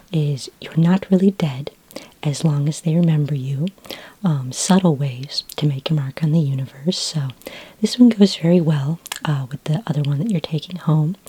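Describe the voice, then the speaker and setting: Breathy, whispering woman, low and mellow. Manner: gentle, unhurried, helpful customer-service patter. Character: friendly, patient sci-fi librarian woman. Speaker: ASMR roleplay performer as a library clerk. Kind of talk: soft-spoken ASMR roleplay. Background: computer keyboard typing.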